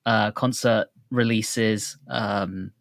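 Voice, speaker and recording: A man's voice: on the low side, steady tone, extremely slow, vocal fry, dry recording. Male podcast host, dry close sound. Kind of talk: casual podcast chat.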